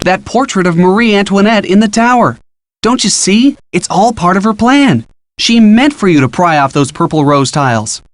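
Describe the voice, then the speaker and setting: An eager young male sidekick from a detective video game. His voice sits fairly high, and he gives earnest, encouraging hints, clearly scripted, in a dry, close recording. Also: no echo. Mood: urgent, excited. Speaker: hint-giving game character.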